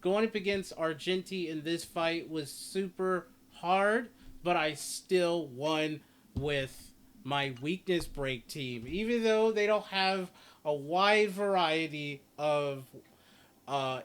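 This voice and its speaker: A man's voice: fairly high pitch, takes it slowly, fairly bright tone, clear, resonant tone. A man hosting a gaming video, giving casual gameplay commentary in an informal, rambling way and correcting himself as he goes. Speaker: gaming channel host.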